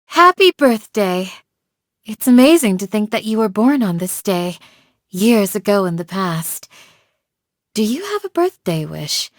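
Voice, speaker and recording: A woman playing a calm, devoted village guardian in a video game, speaking in a measured, formal, gently solemn way. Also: medium pitch, dry recording, studio quality. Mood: kindly. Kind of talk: scripted game character voice lines.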